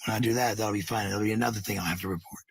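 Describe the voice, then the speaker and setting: Middle aged guy, kinda low husky voice, rambling bluntly, sounds close and dry. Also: steady tone, very fast, no echo. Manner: blunt, sweary, defensive. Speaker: parent talking about family life. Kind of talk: casual rambling monologue.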